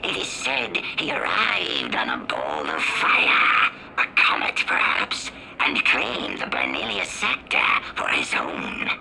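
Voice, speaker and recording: A calm alien storyteller in a video game, measured and mysterious. The low voice creaks as it unfolds solemn lore at a slow pace. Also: male voice, slight background noise, heavily acted, poor audio. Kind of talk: video game character narration.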